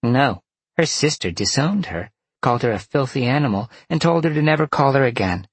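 A man's voice: medium pitch, expressive, a little fast, husky, dry recording, pitched above the performer's own voice. A man voicing a sly, flirtatious, irreverent female fox in an audiobook, teasing and grumbling. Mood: matter-of-fact. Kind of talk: audiobook character acting.

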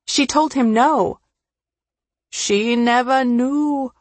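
Lady doing a sad old guy confessing in an audiobook, slow, tearful, halting, dry close sound. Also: sits high, no echo, voice pushed up. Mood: pained, remorseful. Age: older. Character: grief-stricken guilt-ridden elderly Indian man confessing a secret. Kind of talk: audiobook character acting.

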